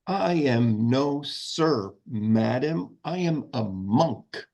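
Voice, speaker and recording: A man's voice: medium pitch, animated, drawn-out words, darkish tone. A man playing a pompous, stern traditionalist monk who lectures and scolds in a comedy script read. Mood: haughty.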